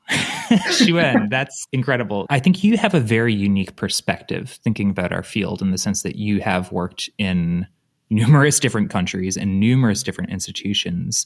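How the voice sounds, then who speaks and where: A man hosting a podcast, introducing his guest with enthusiastic admiration before asking a question. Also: fairly low pitch. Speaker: podcast host. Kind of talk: interviewing a guest.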